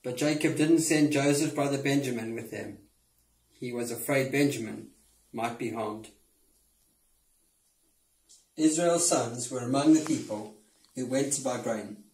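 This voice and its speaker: A man's voice: mid-range voice, a little slow, long pauses, darkish tone. A man narrating a scripted Bible story as a reader. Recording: roomy indoor echo.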